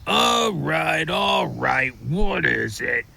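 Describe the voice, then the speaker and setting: A man voicing a giant, proud, impatient squirrel creature with a gruff manner in an audio drama. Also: a little high, sing-song, very slow, bright, forceful tone, slight background noise, full character voice. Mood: irritated. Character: giant grumpy male squirrel creature, proud of his size.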